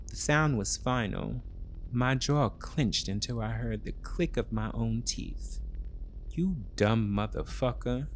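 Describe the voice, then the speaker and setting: Slow, measured male thriller narrator. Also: medium pitch, slight background noise, rough recording. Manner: measured, short deliberate phrases. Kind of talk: scripted first-person thriller narration.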